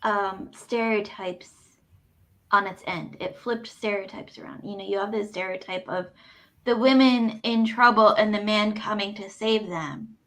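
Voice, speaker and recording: Mid-pitched woman explaining her book take. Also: fairly even intonation, unhurried, fairly bright tone. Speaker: co-host of a book readalong discussion. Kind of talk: casual analytical book chat.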